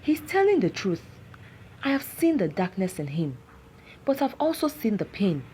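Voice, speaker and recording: A woman voicing a steady, compassionate village man in a folktale, earnest and firm. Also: mid-range voice, normal speed, slight background noise, close to an everyday voice, low-quality recording. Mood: earnest, resolute.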